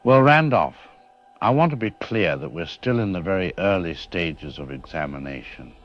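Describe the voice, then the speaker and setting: Radio drama expert, played by a man: deep-voiced, deliberate academic, calmly reassuring, dull muffled sound with faint music. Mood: measured, reassuring. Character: measured, reassuring male science professor, experienced expert. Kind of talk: scripted expert interview in audio drama.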